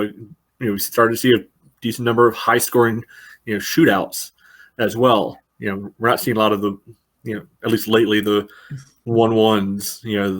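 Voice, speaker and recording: A man co-hosting a podcast, analyzing soccer casually and haltingly, with frequent verbal padding. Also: on the low side. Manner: halting, peppered with "you know". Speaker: podcast co-host.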